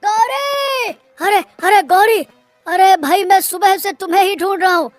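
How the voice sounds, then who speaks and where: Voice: extremely high pitch, measured pace, no echo, soft background music. A performer voicing an alarmed, concerned village man in a Hindi cartoon, anxious and dramatic.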